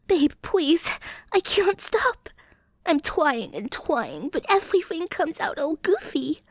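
A goofy, cutesy witch girlfriend in a comic audio roleplay. Her voice is high and babyish, with slow, needy, flustered delivery and mangled cute words. The recording is close and dry. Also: darkish tone, no room sound. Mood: flustered, panicked.

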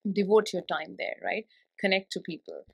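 A woman's voice: medium pitch, animated, moderate pace, creaky, slightly rough. A woman hosting a podcast, chatty and laughing easily, asking long, winding questions. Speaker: podcast host. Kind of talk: casual interview chat.

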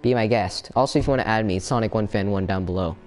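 A young man chatting casually while he streams a fighting game, excitable, with sudden yelps and laughs. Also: lowish voice, fast talker, faint music behind. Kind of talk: casual gameplay commentary. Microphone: no camera, desk mic.

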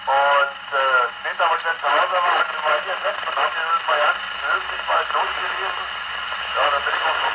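A man's voice: fairly high pitch, steady tone, brisk. A man chatting in a colloquial, rambling way over CB radio. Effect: heard over CB radio. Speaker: CB radio operator. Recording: muffled noisy CB radio reception.

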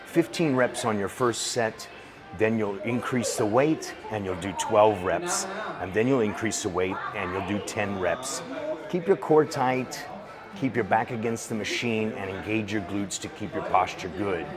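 A man in his forties giving calm, steady step-by-step workout instructions as a voice-over. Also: lowish voice, measured pace. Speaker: fitness instructor. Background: music.

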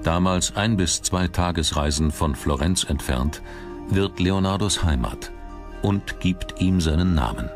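A man reading scripted documentary narration with measured, dramatic phrasing. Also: low voice, music in the background.

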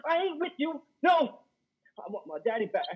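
A man in a play reading, acting a worn-out trucker who relives childhood trauma like a frightened boy, halting and pleading. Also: extremely high pitch, big pitch swings. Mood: anguished pleading. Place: living room on a sofa. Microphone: headset on a video call. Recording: muffled video-call audio.

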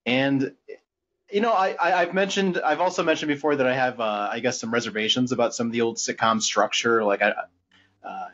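Man, quick halting rambler, casual co-host, somewhat muffled recording. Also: mid-range voice, a bit bright, slight background noise. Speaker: podcast co-host. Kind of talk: casual chat. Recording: dull, lo-fi podcast audio.

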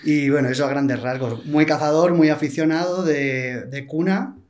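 A man in his thirties from Toledo, a podcast guest talking casually and relaxed, often repeating his words, at a boom-arm studio mic in a small studio. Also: moderate pace, over a noisy crowd. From Toledo, Spain. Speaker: podcast guest, hunting platform founder. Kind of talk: casual interview talk. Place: small studio with wood panels and a plant. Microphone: large studio mic on a boom arm, headphones.

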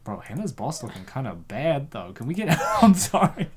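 Animated, jokey man hosting. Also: mid-range voice, normal speed. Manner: jokey, exaggerated reactions. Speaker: host. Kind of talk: casual comic commentary.

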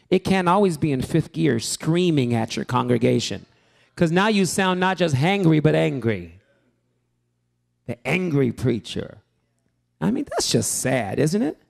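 A man teaching a sermon-preparation workshop to a live group in a church hall, animated and joking, calling out to the room. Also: on the high side, vocal fry. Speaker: preaching instructor.

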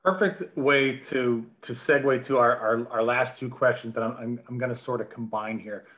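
A man's voice: mid-range voice, steady tone, normal speed, fairly bright tone. A middle-aged man with an American accent, hosting a conversational interview as moderator on a video call.

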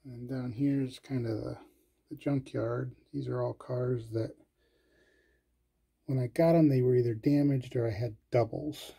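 A man casually narrating a tour of his collection, listing items in a slow, low-key way with pauses and fillers. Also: fairly even intonation, gravelly, dark tone, breathy edge.

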